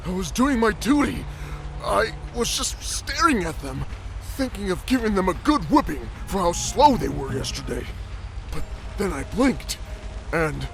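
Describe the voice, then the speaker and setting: Man acting a high, croaky, defensive orc guard. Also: full character voice. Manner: pleading, defensive. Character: nervous, defensive orc guard making excuses. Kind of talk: fantasy audio drama acting.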